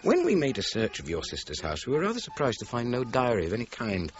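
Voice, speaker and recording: An actor with a British accent playing a shrewd, probing chief inspector in a radio crime drama, questioning in a pointed, methodical way. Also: male voice, mid-range voice, wildly swooping pitch, fast, dull audio, music in the background.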